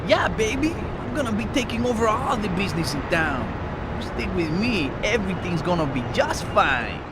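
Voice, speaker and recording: An actor playing a cocky, jumpy gang boss in a video game. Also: male voice, sits high, very animated, moderate pace, heavy background noise. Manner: cocky, streetwise, edgy. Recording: loud music behind game dialogue.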